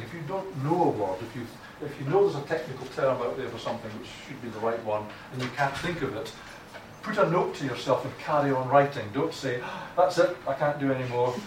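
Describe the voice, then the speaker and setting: A man's voice: mid-range voice, animated, a little fast. Scottish male lecturer, blunt, informal, lots of restarts; distant mic, room echo. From Scotland. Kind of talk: academic writing lecture. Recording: echoey room from far off, noisy.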